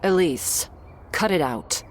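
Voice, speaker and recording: A woman's voice: lowish voice, animated, takes it slowly, slight background noise. A woman playing a stern, irritable vampire guard in a scripted audio drama, curt and scolding, giving orders. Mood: irritated.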